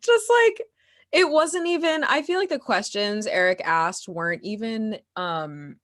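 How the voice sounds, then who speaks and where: A young woman giving casual interview answers as a guest playwright and performer, speaking in a candid, self-deprecating, animated and giggly way. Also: on the high side, unhurried. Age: young adult.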